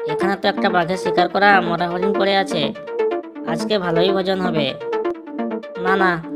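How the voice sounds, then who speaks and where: A woman's voice: on the low side, lightly acted. A woman voicing a sly, greedy thieving fox in a children's cartoon, scheming aloud to itself. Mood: sly, eager. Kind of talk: children's cartoon character dialogue. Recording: loud music under the voice.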